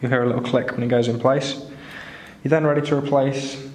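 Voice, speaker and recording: Echoey, even-toned man giving repair instructions. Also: mid-range voice, a little fast. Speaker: repair tutorial presenter. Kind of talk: step-by-step how-to narration. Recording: roomy echo, clear background noise, clicks.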